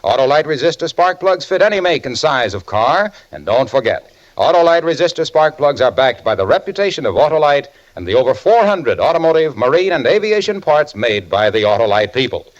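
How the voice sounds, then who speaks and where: A male announcer playing an exuberant, folksy pitchman with playful sales patter in a vintage radio advertisement. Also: big pitch swings, fairly quick. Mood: eager selling. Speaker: commercial announcer. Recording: muffled vintage radio recording.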